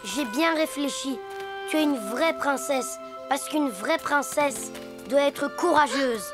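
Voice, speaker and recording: A woman voicing a kind young male cartoon hippo who is gentle and thoughtful, explaining things patiently and turning gallant when he plays a knight. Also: high voice, takes it slowly. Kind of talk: animated series voice acting. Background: background music.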